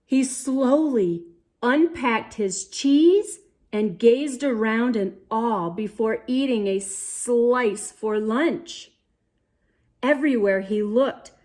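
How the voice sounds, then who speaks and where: A woman telling a children's story in an expressive read-aloud style, theatrical and playful, with dramatic pauses and emphasis. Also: a little high. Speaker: children's story reader, host.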